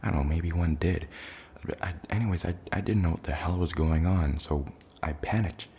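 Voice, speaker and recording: Very deep, creaky man acting as invasion survivor. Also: dark tone, a little hiss or hum. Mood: shaken, grim. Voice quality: gravelly, creaky low voice. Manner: hesitant, halting, plainly worded recollection. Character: shaken male survivor recounting an alien invasion. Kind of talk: soft-spoken ASMR roleplay testimony.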